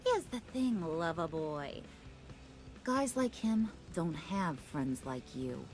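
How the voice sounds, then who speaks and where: An actress with an American accent playing a playful, menacing young villainess in a video game, teasing in sing-song slang with mock sweetness. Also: female voice, deep voice, animated, slow pace, a little mellow, faint music behind. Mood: taunting, suspicious.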